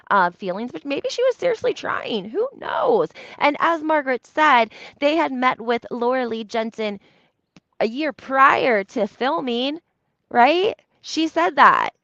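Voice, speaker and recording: A woman's voice: fairly high pitch, fairly bright tone. A woman giving casual, opinionated reality TV commentary in a conversational, emphatic way, using rhetorical questions and repetition. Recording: roomy echo, slightly dull lo-fi sound.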